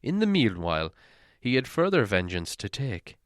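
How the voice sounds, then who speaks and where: A man reading an audiobook as a dramatic storyteller, expressive and theatrical. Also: fairly low pitch, moderate pace, dry recording, studio quality. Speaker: audiobook reader.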